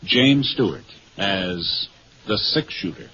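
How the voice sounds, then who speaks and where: A man's voice: fairly low pitch, expressive, slow. A man with an American accent announcing in a formal, dramatic, scripted 1950s radio style. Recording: muffled 1950s radio recording with music.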